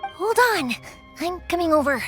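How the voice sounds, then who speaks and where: A woman voicing a cheerful, talkative, kind young fireworks maker in a video game dub, expressive in her delivery. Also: very high-pitched, normal speed, faint music behind. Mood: hushed urgency.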